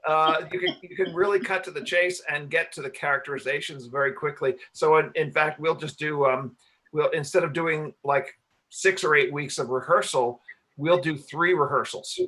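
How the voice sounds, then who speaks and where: A man with a bright, forward voice pitched a little high talks casually in a panel Q&A. He explains things in a halting way, feeling his way through each point. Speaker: radio play director and sound engineer.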